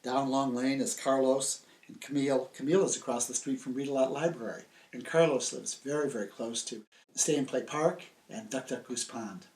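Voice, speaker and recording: A man's voice: medium pitch, normal speed, a little mellow, low-quality recording. An older American man, a children's book author, presenting and telling stories to young children in simple, emphatic phrases. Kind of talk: friendly scripted presentation and storytelling for young children. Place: small book-lined room indoors.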